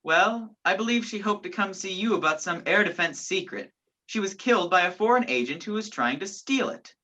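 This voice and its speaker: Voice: mid-range voice, lively intonation, a little fast, bright, forceful tone. A performer playing an earnest, anxious man on the run in a radio play, polite and hesitant. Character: earnest, anxious male fugitive posing as job seeker.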